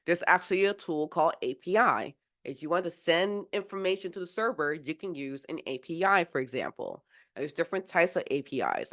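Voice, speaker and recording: A woman's voice: lowish voice, fairly bright tone. Woman mentor recovering from a cold. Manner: casual, rambling explanations with many fillers and restarts. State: getting over a cold. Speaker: software engineering mentor. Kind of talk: live-streamed mentoring session.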